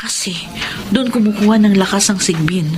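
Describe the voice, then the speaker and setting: Actress as a bold Filipino monster hunter, noisy backdrop. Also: female voice, medium pitch, moderate pace, natural voice. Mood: grave, resolute. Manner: resolute, explanatory, commanding. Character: bold, determined monster hunter, brisk and defiant. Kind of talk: Filipino radio horror drama acting.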